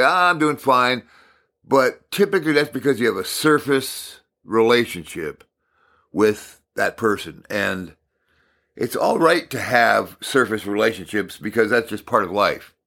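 A man teaching a devotional message in a calm, unhurried way with thoughtful pauses. His voice is a little raspy from talking a lot. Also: medium pitch.

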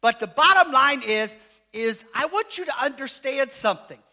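A man preaching a sermon as pastor, casual and joking, asking the congregation questions, rising to shouts. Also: lively intonation, normal speed. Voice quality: high voice.

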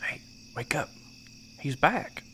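A man's voice: slow pace, close to an everyday voice. An actor playing a cautious, grim old man on a night stakeout in a horror audio play, with crickets behind. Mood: urgent. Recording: noisy track with insect sounds.

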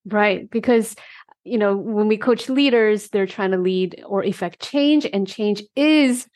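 Woman host, coach, middle pitch, quick to agree, keen questions. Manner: warmly affirming, eager questioning. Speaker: podcast host, leadership coach. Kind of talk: conversational interview.

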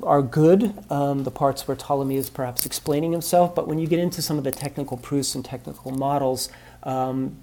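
A man's voice: a little high, mellow. A man speaking as an expert guest, explaining history in thoughtful interview answers full of ums and uhs.

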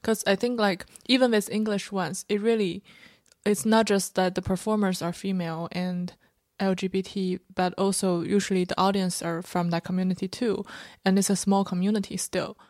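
A woman's voice: medium pitch, steady tone, normal speed, darkish tone, dry recording, clean studio sound. A woman comedian whose first language is Chinese, talking frankly and loosely in a podcast interview, with many filler words. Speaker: stand-up comedian guest. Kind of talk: casual interview talk. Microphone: podcast microphone.

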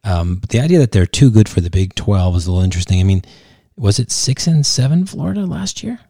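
Dark, mellow low-voiced man co-host. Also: very animated, fast, no room sound, clean studio sound. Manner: wry, sarcastic interjections. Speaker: podcast co-host. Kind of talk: casual chat.

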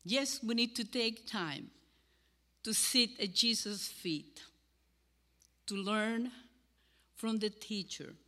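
An older woman from Cuba with a Cuban Spanish accent, giving an earnest sermon to a congregation and pausing between phrases. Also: on the high side, bright, forceful tone. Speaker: guest speaker. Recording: hall with long reverb.

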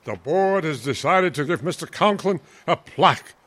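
A man playing a brisk, formal school board official who gets flustered, in an old-time radio comedy. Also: a little high, animated, fairly bright tone.

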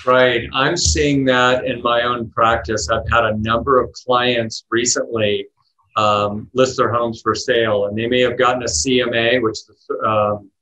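Bright-voiced male podcast host. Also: mid-range voice, level tone, unhurried, lo-fi sound. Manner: upbeat, rises when asking questions. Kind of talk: interview hosting.

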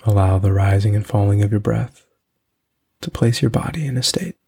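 A man hosting a podcast and leading a guided meditation in a soothing, unhurried way with long pauses. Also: lowish voice, vocal fry, mellow, slightly rough.

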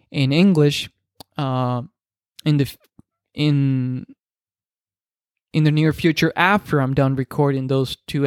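A man's voice: mid-range voice, clear, resonant tone, no room sound, studio quality. A man in his twenties whose first language is Spanish, hosting a solo podcast in earnest, reflective talk with many restarts and fillers. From Dominican Republic. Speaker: podcast host.

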